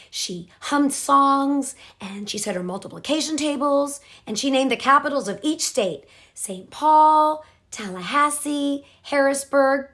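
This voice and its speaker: A woman reading a children's picture book aloud in an expressive, measured storytelling style. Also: high-pitched. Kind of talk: scripted storybook narration.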